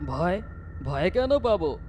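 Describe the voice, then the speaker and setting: An old ghost of the night trains played by a man speaks in a menacing cartoon drawl. His pitch sits high for a man and swings widely, and he takes his time over each word. Train noise and ambience surround him. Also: very animated, a little mellow, exaggerated voice. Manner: slow, menacing, ominous drawl. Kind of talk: cartoon ghost story voice acting. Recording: noisy, with train and ambience sounds.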